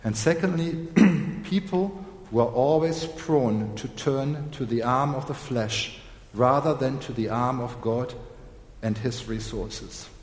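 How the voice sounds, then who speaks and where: Hoarse guy preaching real slow, keeps clearing his throat, big echoey hall. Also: mid-range voice, a little mellow. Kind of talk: sermon. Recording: echoey hall with long reverb.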